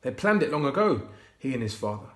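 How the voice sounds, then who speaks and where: A man reading a Bible storybook aloud to children, expressive and often hushed. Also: mid-range voice, fairly quick. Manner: hushed, expressive, dropping to whispers. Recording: roomy echo.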